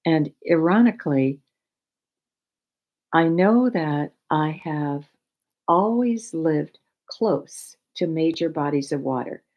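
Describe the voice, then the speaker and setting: An older American woman with a low voice talks informally as a spiritual teacher. She takes her time, moving through her thoughts in a reflective, deliberate way with halting phrases. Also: darkish tone. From United States, raised in Michigan. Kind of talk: informal spiritual talk with personal anecdotes.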